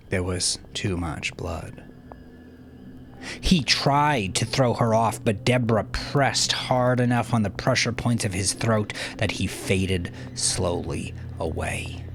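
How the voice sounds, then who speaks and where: A man narrating a dark story like an audiobook, intense and theatrical, swinging between hushed phrase endings and forceful peaks. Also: fairly low pitch. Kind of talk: dramatic scripted audiobook narration.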